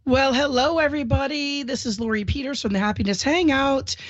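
A woman hosting a solo talk show, speaking in an upbeat, chatty, encouraging way while getting over a cold and clearing her throat now and then. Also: high-pitched, a little fast, faint music behind. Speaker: host. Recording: dull dry lo-fi audio.

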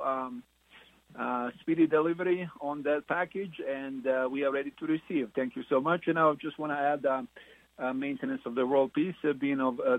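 A man calling in by telephone with an informal request, polite and a little hesitant. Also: a little high. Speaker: caller. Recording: tinny phone line.

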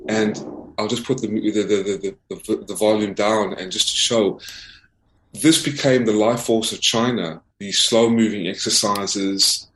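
A man's voice: fairly low pitch, steady tone, unhurried, fairly bright tone. A man from South Africa speaking as an investigative reporter and interview guest, earnestly presenting in a formal, emphatic way and often repeating himself. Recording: roomy, echoing voice.

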